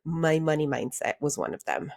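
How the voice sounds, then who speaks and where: A woman's voice: sits low, very animated, moderate pace, creaky, darkish tone. A woman from Honduras who moved to the United States as a child, telling her own story as a podcast guest in a candid, informal and reflective way. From Honduras until age eight, then United States. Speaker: podcast guest, career coach. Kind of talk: conversational personal storytelling.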